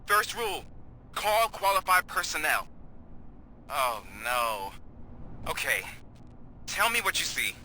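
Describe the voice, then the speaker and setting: An actor playing a nervous, well-meaning police desk officer in a video game, hesitant, stammering and easily flustered. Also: male voice, a little high, very animated, slow, long pauses, bright, forceful tone, a little hiss or hum, full character voice. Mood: anxious, helpful.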